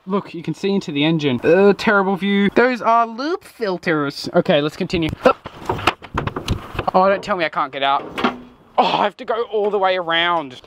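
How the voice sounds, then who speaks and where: A young man, giving a casual, jokey walkthrough while exploring old trains, recorded on a handheld camera outdoors and in a locomotive cab. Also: a little high. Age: young adult. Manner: chatty, jokey, running play-by-play. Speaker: urban explorer vlogger. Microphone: handheld action camera. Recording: noisy outdoor camera audio.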